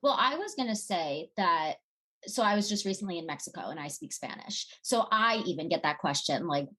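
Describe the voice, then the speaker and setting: Low-voiced female podcast co-host, earnest and reflective, loose and filler-heavy. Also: lively intonation, fairly quick, bright, forceful tone. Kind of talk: casual podcast chat.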